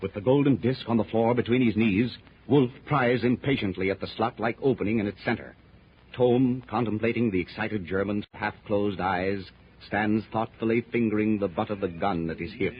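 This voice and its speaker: A man narrating a 1930s radio adventure serial in a suspenseful, theatrical style with a husky voice. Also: lowish voice, normal speed, a little hiss or hum. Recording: muffled 1930s radio recording.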